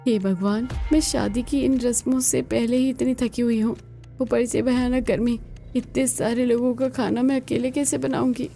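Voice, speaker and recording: A woman's voice: on the high side, steady tone, moderate pace, creaky, dark tone, faint music behind. A woman voicing an anxious, dutiful young bride in a family cartoon, earnest and polite. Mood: worried, weary. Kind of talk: Hindi family cartoon voice acting.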